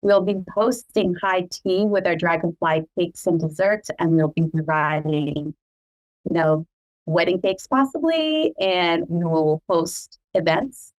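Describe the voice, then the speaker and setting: A woman's voice: lowish voice, unhurried, poor audio. A middle-aged woman whose first language is Cebuano, hosting a podcast in a chatty, proud way and laughing often. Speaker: podcast host. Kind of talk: casual family chat.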